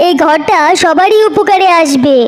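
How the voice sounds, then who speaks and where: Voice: very high voice, a little slow. A performer voicing a kindly, patient, reassuring village father in a cartoon story. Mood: hopeful.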